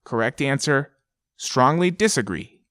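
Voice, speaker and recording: Male actor as officious company announcer, clipped, formal, authoritative, very lively intonation, dry sound, audio drama. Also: medium pitch, measured pace, no echo. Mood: officious, clinical. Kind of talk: scripted corporate narration in audio drama.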